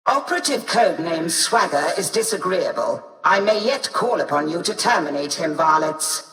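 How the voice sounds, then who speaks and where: A woman's voice: fairly low pitch, lively intonation, normal speed, fairly bright tone. An actress voicing an imperious, impatient and suspicious female authority figure in a video game, haughty, curt and formal. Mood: stern, threatening. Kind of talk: dramatic video game character acting. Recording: echoey hall, distant from mic.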